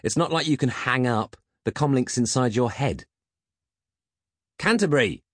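Comic audiobook lead, voiced by a man: petulant grumbler, easily rattled, very animated intonation, husky edge. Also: deep voice, normal speed, dry recording, studio quality, full character voice. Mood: insistent, sulky. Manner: whiny, huffy, grumbling. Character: petulant, jumpy, self-important comic hero with secret lair.

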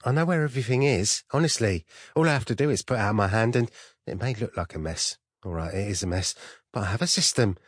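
A man's voice: on the low side, expressive, fast, no echo, studio quality, voice pushed up. A man voicing a bubbly, cheeky young female secretary with a breezy, defensive manner in an audiobook. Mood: cheerfully defensive.

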